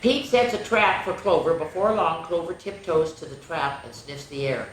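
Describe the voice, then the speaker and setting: A woman's voice: on the low side, moderate pace. A woman hosting children's story time, reading picture books expressively and playfully, with chatty asides to young listeners. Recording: very echoey room with faint noise.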